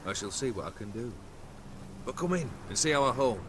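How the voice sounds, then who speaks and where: A man's voice: mid-range voice, animated, moderate pace, a bit bright, slight background noise, lightly acted. An actor playing a proud, gallant newlywed nobleman in a fantasy game, with a formal, courtly manner. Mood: warm, playful. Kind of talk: dramatic video game acting.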